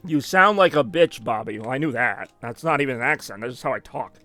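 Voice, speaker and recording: A man's voice: mid-range voice, animated, brisk, bright, forceful tone, soft background music. A man giving rambling, self-mocking live gameplay commentary as a streamer, now and then dropping in German phrases. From United States. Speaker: gaming streamer.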